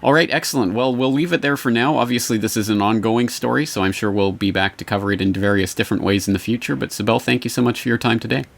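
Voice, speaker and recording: Fast-talking male interview host. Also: mid-range voice, creaky. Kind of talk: interview conversation.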